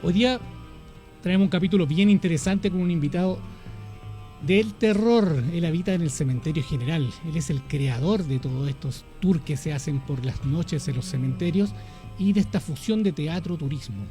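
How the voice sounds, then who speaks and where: A man hosting a late-night radio show, speaking with an easy, chatty manner. His voice sits a bit high but sounds dark and mellow. Soft music plays faintly behind him. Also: moderate pace. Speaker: radio host. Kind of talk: casual radio chat.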